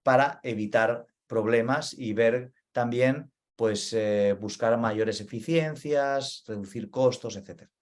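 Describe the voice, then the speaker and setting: A man's voice: medium pitch, takes it slowly, few pauses. A man from Spain with a Spain Spanish accent, explaining data center operations in a webinar and repeating his key points. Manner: earnest, repeats key points, frequent "vale?" check-ins.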